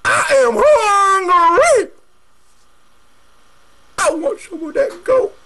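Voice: very high-pitched, lively intonation, drawn-out words, heavy background noise, voice pushed up, heavily acted. Someone doing a cackling, shrieking hungry troll. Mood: greedy. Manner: cackling, shrieking, laughs a lot. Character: greedy hungry troll under a bridge.

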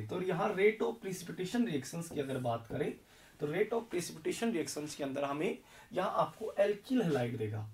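A hoarse young man teaching chemistry at a board, explaining step by step and asking questions that he answers himself. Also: sits high, a little mellow, far from the mic. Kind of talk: whiteboard lecture. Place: indoor classroom in front of a green board.

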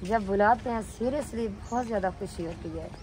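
A woman's voice: mid-range voice, fairly quick, slight background noise, rough recording. A woman from Pakistan, chatting casually outdoors in a village while someone else films her. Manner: soft-spoken, chatty, pious. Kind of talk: casual conversation. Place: outdoors in rural fields and a village courtyard. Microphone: phone camera held by another person.

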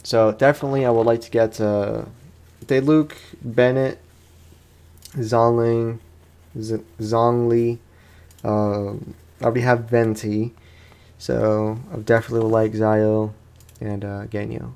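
A man's voice: mid-range voice, flat delivery, measured pace, gravelly, darkish tone, clean tone. A man giving casual let's play commentary as a gaming host, in a rambling, slangy, self-deprecating way.

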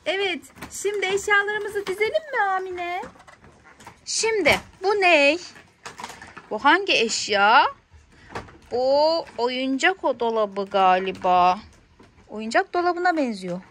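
A woman's voice: high voice, animated, takes it slowly, long pauses, fairly bright tone, a little hiss or hum. A woman in a playful toy unboxing, talking to a small child in an encouraging way with lots of questions. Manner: encouraging, question-filled, child-directed talk. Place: indoors on a living-room sofa.

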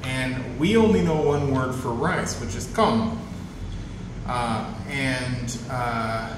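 Slow-talking man in an echoey room. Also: medium pitch. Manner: relaxed, chatty, chuckles often. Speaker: travel vlogger. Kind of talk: casual grocery haul chat. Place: bright room of a rented apartment. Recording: roomy echo with clear background noise.

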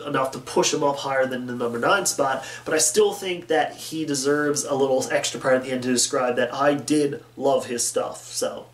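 A man's voice: mid-range voice, normal speed, hardly any pauses. A young man in his twenties, nasal from the flu, talking casually and animatedly to camera as the host of a gaming channel in a small bedroom. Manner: animated, gesturing with both hands. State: nasal, getting over the flu. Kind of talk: casual direct-to-camera talk. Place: small bedroom with posters on the wall. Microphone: webcam or camera a few feet away. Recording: roomy, distant camera audio.

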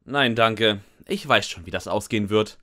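A man with a fairly deep but bright, forward voice narrates a comic audio drama in the first person. He sounds sarcastic and resigned, recorded dry and close. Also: moderate pace, no echo.

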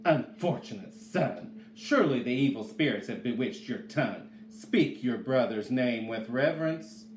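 A man's voice: medium pitch, animated, a little slow, hardly any pauses, lightly acted. A proud, stern mother from a storybook played by a man, formal and dramatic in her speech. The room echoes around the voice, with faint noise behind it. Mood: scolding.

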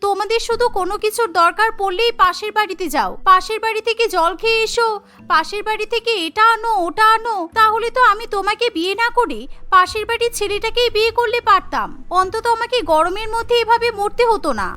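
A woman's voice: very high voice, fairly quick, sharp tone. A woman voicing an indignant, hot-tempered young city bride in a cartoon, nagging and complaining. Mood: fed up. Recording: music bed behind the voice.

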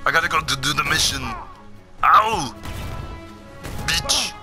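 A man giving excitable, in-character gameplay commentary, shouting taunts and boasting as he plays. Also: a little high, takes it slowly, over music. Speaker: gaming video host.

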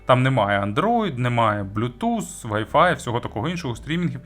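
A man's voice: medium pitch, big pitch swings, a little fast, a bit bright. A man giving a casual, conversational product review, explaining things informally as the host. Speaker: audio gear reviewer and host. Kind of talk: casual semi-scripted product review commentary.

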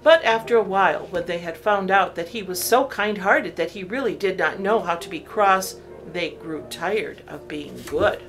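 A woman narrating a children's story from a script, in an expressive, deliberate storytelling way. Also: lowish voice, faint music behind.

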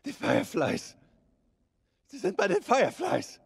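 A man's voice: very high-pitched, huge pitch swings, a little slow, vocal fry, exaggerated voice. An actor playing a beaten, cornered male arms dealer in a video game, pleading and whining in pain. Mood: nervous persuading. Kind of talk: dramatic video game acting, German dub.

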